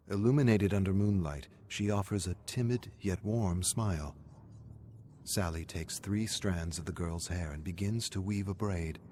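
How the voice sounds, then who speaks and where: A male narrator with a low voice reads a scripted horror tale. His delivery is dramatic storytelling, with noticeable ambient noise behind it. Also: a little mellow.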